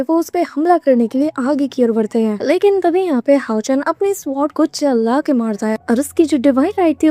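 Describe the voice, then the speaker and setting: High, mellow-toned hoarse woman narrator, chatty anime recap, dry close sound. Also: dry recording. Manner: chatty, addresses viewers as homies, mixes English words. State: hoarse throat. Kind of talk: casual anime episode recap.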